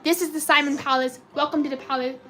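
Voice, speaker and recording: Woman streamer, high and robotic-sounding, chatty and excitable, faint music behind. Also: fast talker. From United States. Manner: chatty, excitable cheering at spins. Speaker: slot machine live streamer. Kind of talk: live stream commentary reading chat.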